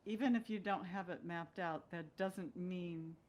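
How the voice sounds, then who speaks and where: A woman's voice: lowish voice, unhurried, darkish tone. A woman speaking as an actress guest in a casual interview, reflecting analytically as she talks. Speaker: guest, stage and screen actress. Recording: clear background noise.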